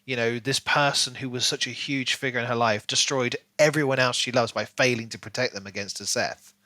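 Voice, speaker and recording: A man's voice: medium pitch, steady tone, a little fast, bright, forceful tone, dry recording. A man co-hosting a podcast, discussing a book casually in long, rambling runs. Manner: rambling, talks in long runs with many "you know"s. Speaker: podcast co-host.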